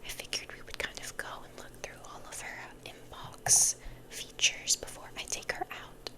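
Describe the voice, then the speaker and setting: A young woman hosting ASMR, rambling casually in a whisper and repeating words and phrases for effect. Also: normal speed. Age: young adult. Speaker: ASMR video host.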